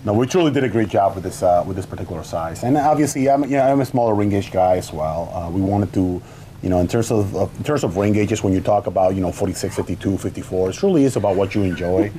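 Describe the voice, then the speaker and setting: A man's voice: low voice, warm tone, a little hiss or hum. A man in his thirties from the Dominican Republic, a company founder talking casually on a studio panel through a lapel mic, often restarting his sentences. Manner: halting, restarts often, eager. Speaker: cigar company founder, guest. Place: studio set with wood shelves, indoors.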